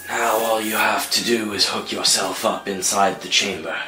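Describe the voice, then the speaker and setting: A man playing a ruthless, grandiose old mastermind with a weak, raspy voice, gloating and deliberate, in a dramatic screenplay read. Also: on the low side, fairly even intonation, sharp tone, distant mic. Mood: frail coaxing. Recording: roomy sound with music underneath.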